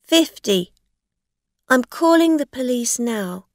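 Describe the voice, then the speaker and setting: A woman voicing a scheming, angry woman who plays the victim in an audio story, sharp, insistent and accusing. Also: high-pitched, animated, takes it slowly, no room sound, studio quality, pitched above the performer's own voice, heavily acted. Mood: demanding. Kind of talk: acted dialogue in an audio story.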